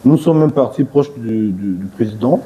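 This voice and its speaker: Assertive man, dark mellow voice. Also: mid-range voice, lively intonation, normal speed, poor audio. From Gabon. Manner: assertive, rising emphatic when arguing. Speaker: party leader. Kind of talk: political TV interview.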